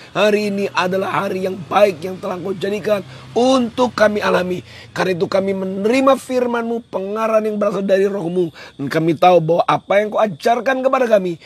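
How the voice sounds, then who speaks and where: A man with a hoarse voice, preaching a devotional in an emphatic, repetitive way. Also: sits high. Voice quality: hoarse, husky. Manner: emphatic, repeats phrases for effect. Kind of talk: devotional sermon on a livestream, switching into English at times.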